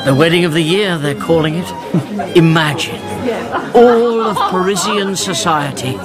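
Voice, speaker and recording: A man's voice: on the high side, a little slow, natural voice. A man playing a self-satisfied middle-aged baron in a radio drama, effusive and blustering. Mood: delighted. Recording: party crowd and music behind.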